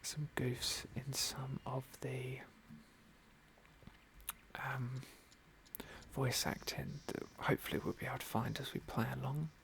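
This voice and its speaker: A man giving gentle, musing ASMR roleplay commentary on a game in a soft, breathy whisper. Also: mid-range voice, little pitch movement, unhurried, long pauses, slight background noise. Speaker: game playthrough host.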